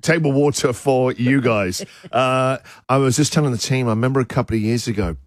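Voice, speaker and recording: A man's voice: medium pitch, brisk, no room sound, studio quality. A man hosting a casual radio show, telling jokey stories and laughing with callers. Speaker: radio host. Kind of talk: casual breakfast radio chat.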